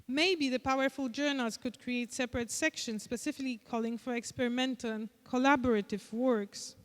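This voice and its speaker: Polish accent woman reading her conference paper, careful and measured, kinda high voice, lots of hall reverb. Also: steady tone. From Poland. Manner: measured, deliberate reading with occasional restarts. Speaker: conference speaker. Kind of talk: reading a prepared lecture.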